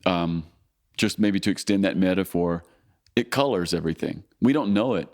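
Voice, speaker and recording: A deep-voiced man hosts a relaxed conversation, his pitch sitting very low. He affirms his guest often and turns the guest's words into thoughtful follow-up questions. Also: moderate pace. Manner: affirming, short "yeah" backchannels, reflective. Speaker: interview host. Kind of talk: casual interview questions.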